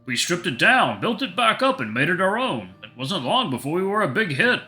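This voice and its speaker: A man's voice: high voice, big pitch swings, brisk, sharp tone, faint music behind, pitched above the performer's own voice, full character voice. A man voicing a friendly, folksy cafe owner who chats proudly in a story reading. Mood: fond, chatty. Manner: folksy, chatty. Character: friendly, chatty male cafe owner and baker, proudly reminiscing.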